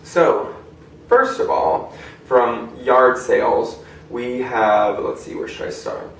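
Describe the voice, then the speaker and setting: A man's voice: mid-range voice, takes it slowly, creaky, a little hiss or hum. A young American man presenting a collection in a casual, chatty, enthusiastic and rambling vlog style. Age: young adult. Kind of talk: casual show-and-tell vlog. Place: indoor room at home. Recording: echoey room, distant microphone.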